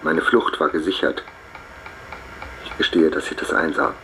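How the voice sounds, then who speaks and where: An actor playing a brooding outlawed innkeeper turned murderer in a radio play, speaking in a grave, measured, reflective way. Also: male voice, very deep voice, fairly even intonation, sharp tone, slight background noise, low-quality recording. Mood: uneasy, reflective. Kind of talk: German radio play, first-person narration and dialogue. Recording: music clearly behind the voice.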